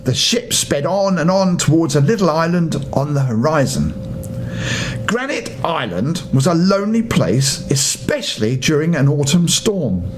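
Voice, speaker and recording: An older man reading a children's bedtime story aloud, with dramatic emphasis and drawn-out phrases. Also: very animated, faint music behind. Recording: noisy home recording with low rumble.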